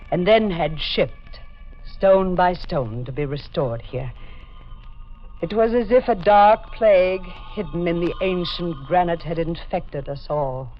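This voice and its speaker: A haunted-sounding old woman in a 1940s radio drama, brooding and grave. She speaks very slowly, on a dull vintage recording with music behind. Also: sits low. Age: older. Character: brooding old woman, last of a doomed family.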